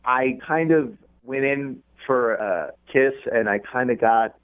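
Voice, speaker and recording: A man's voice: mid-range voice, takes it slowly. A man calling a radio show over the phone, casually recounting things in a defensive, hedging way. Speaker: radio call-in guest. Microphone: telephone. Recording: narrow tinny phone line.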